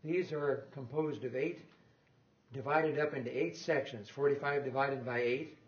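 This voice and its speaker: A man's voice: mid-range voice, moderate pace, highs cut off. An older man giving an informal technical talk in a lecture room, rambling and correcting himself with small chuckles. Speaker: guest lecturer on loudspeaker design.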